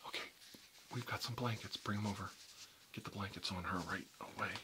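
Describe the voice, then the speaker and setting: A man speaking softly and reassuringly, often in a whisper, as a caregiver in a medical roleplay. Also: sits low, brisk, noisy background.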